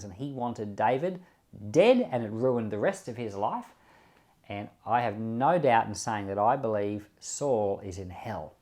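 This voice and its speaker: Earnest man teaching from the Bible. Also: fairly low pitch. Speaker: pastor hosting a Bible podcast. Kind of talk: informal Bible commentary, teaching.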